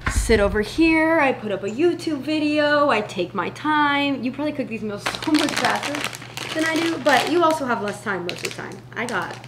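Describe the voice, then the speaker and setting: A woman's voice: sits high, lively intonation, moderate pace. Echoey, chatty young woman vlogging. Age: 20s. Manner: chatty, informal, slangy asides. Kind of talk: casual vlog chat, try-on haul. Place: indoors, open-plan home kitchen and living area. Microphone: camera mic a few feet away. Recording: roomy echo with noticeable background noise.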